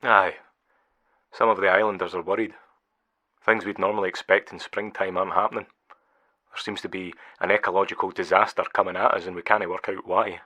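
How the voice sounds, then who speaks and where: A Scottish islander interviewed for the news in a radio play. His voice is fairly low with a tense, forceful edge. He speaks plainly and earnestly, clearly worried. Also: flat delivery, a little fast. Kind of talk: naturalistic audio drama acting.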